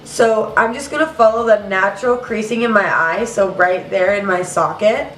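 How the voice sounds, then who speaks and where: A woman's voice: medium pitch, normal speed, a bit bright, slight background noise. A young woman hosting a makeup tutorial in a casual, chatty way, a little nasal and congested from allergies. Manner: chatty, casual, says "girl" and "you guys". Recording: roomy, mic at some distance.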